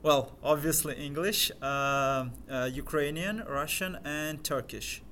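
A young man whose first language is Crimean Tatar, a guest talking casually in an interview in English and pausing often to search for words. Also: on the high side, steady tone, pushed and bright, slight background noise. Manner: hesitant, filler-heavy, searching for words.

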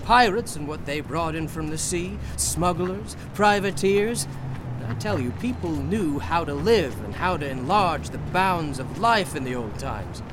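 An actor playing an eccentric, boastful painter of horrors, talking fervently and persuasively in a radio drama. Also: male voice, on the high side, animated, measured pace, background noise.

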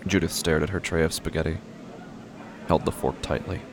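A man narrating a literary horror drama in a hushed, deliberate way. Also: very deep voice, level tone, noisy background.

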